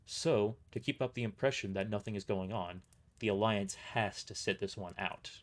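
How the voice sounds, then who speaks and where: A man's voice: lowish voice, moderate pace, close to an everyday voice. A man playing a weary mercenary boss who gives grave, matter-of-fact briefings in an audio drama. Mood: grave, explanatory.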